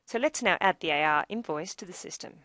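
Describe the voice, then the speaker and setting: A woman narrating a scripted software tutorial as demo presenter, in a measured, explanatory way. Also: lowish voice, lively intonation, no room sound.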